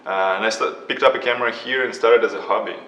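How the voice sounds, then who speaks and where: A man from Ukraine, a photographer, answering interview questions in a soft, halting way with frequent restarts. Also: on the low side, fairly even intonation, a little hiss or hum. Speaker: guest photographer. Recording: echoey room, mic from a distance.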